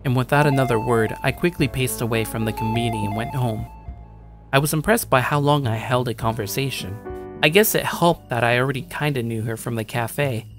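A man's voice: medium pitch, brisk, natural voice. A man playing a shy young gamer loner over music. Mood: reflective. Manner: self-deprecating, stammering when talking to others. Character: shy, awkward young male gamer loner narrating his life. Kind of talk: first-person story narration with acted dialogue.

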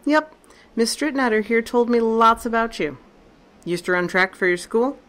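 A woman's voice: fairly high pitch, expressive, moderate pace, fairly bright tone. A woman playing Tessa, a tough, sarcastic and profane survivor, in a fan audio drama. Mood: wry.

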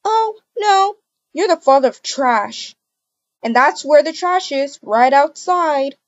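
A woman's voice: high-pitched, animated, slow pace, dry recording, muffled recording, pitched above the performer's own voice. A woman voicing a betrayed, indignant and bossy wife in an amateur game roleplay. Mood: scornful. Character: furious betrayed wife demanding divorce. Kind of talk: amateur voiced Roblox roleplay.

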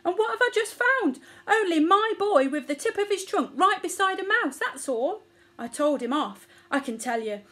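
A woman's voice: extremely high pitch, big pitch swings, normal speed, fairly bright tone, voice pushed up, heavily acted. A woman voicing a cross, bossy mother elephant in a children's story, emphatic and indignant. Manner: emphatic, huffy, grumbling.

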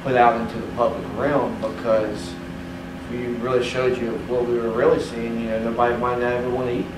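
A man's voice: mid-range voice, little pitch movement, brisk. Man answering in an echoey conference room. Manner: rambling with many "you know" fillers. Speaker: panelist for the fishing industry. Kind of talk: panel Q&A answer. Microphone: distant room mic. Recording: echoey room, distant mic, air-conditioning hum.

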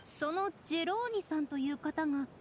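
A thoughtful young female strategist from an anime game. Her high voice is polite, formal and measured. A faint rumble lies behind it. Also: lively intonation. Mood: composed, thoughtful.

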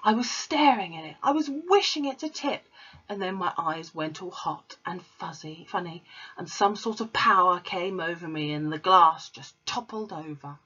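A woman voicing a small, clever, earnest girl in a read-aloud storybook, with a breathy voice. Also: lowish voice, moderate pace, pushed and bright. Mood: insistent. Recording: slightly muffled recording.

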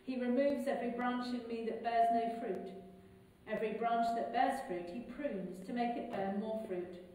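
A middle-aged English woman priest reading the gospel and preaching in a measured, clear liturgical manner, recorded in a large stone church from a distance. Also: a little high, fairly even intonation, mellow, a little hiss or hum. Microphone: far camera. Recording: echoey church from far off.

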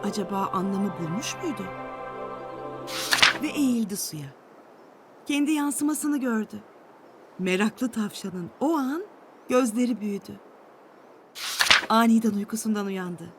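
A woman reading a scripted children's storybook tale with an expressive storytelling delivery. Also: mid-range voice, unhurried, pauses often, loud music behind the voice.

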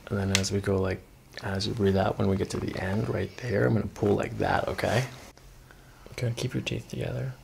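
Gravelly low-voiced male chiropractor. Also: little pitch movement, a little fast, a little hiss or hum. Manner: instructional, checking in with short questions. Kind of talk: hands-on exam commentary to a patient. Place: small carpeted clinic treatment room. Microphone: microphone on a boom arm near the table.

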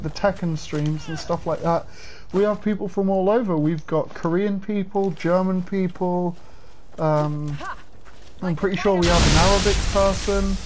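A man's voice: high-pitched, darkish tone, a little hiss or hum, poor audio. A young man casually talking through a livestream while playing, chatty and swearing at the game. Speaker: game streamer.

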